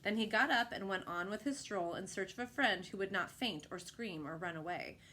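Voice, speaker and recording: Woman, expressive storybook narration, medium pitch, children's read-aloud. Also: normal speed, a little hiss or hum. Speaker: storyteller reading a picture book aloud.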